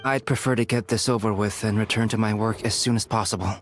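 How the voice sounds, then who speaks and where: A man playing an aloof, work-minded gentleman in a fantasy video game, formal and dry in manner. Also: fairly low pitch, fairly even intonation, fast talker, soft background music, lightly acted. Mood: reserved.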